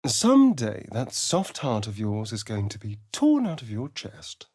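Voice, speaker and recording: An actor playing a sly, vain vampire rogue in a video game, with an arch, sardonic, flamboyant delivery. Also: male voice, mid-range voice, huge pitch swings, measured pace, a little mellow, no room sound, clean studio sound. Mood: wry, brooding. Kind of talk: video game voice acting, dramatic lines and narration.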